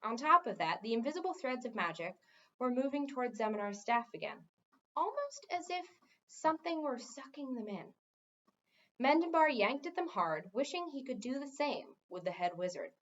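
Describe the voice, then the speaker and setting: A woman's voice: high voice, very animated, moderate pace, fairly bright tone, muffled recording. A woman reading a storybook aloud expressively, as a teacher does for listeners.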